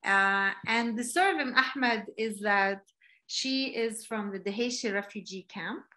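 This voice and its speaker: Slow-talking woman on a video call. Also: mid-range voice, pushed and bright. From Palestine. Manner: reflective, many fillers and restarts. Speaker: guest speaker. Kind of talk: online talk. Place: home room, indoors. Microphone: laptop webcam video call.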